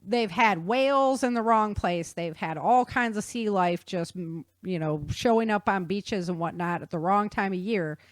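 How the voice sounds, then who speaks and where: A woman explaining things in an animated, conversational way with casual slang. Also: mid-range voice, normal speed, few pauses, no room sound. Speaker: guest author explaining research.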